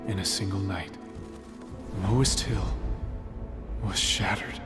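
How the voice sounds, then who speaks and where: A man playing an earnest, thoughtful sect disciple who recounts old tales in a measured, dramatic way for a video game. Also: low voice, over music. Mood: grave. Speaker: non-player character giving backstory. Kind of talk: expressive storytelling in video game dialogue.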